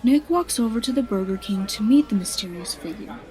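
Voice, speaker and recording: A woman's voice: medium pitch, animated, a little fast, warm tone, rough recording. A woman reading scripted third-person narration as the narrator of a radio drama.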